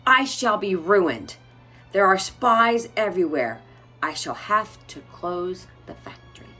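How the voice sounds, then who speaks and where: A woman voicing a distraught male factory owner in a storybook, shouting dramatically in despair. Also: medium pitch, expressive, slow, husky, faint music behind, exaggerated voice. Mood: panicked.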